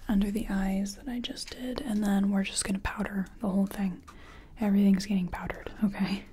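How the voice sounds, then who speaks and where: A woman whispering softly and gently in a breathy voice, reassuring the listener in a close-up ASMR personal attention roleplay as a makeup artist. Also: medium pitch, level tone, fairly quick, slight background noise. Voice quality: soft, breathy, mostly whispered. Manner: gentle, reassuring, murmured repetitions.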